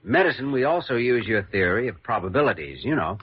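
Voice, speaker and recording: A man playing a measured young hospital doctor in an old-time radio drama. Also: medium pitch, very animated, breathy edge. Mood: calm, reassuring. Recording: muffled old radio recording.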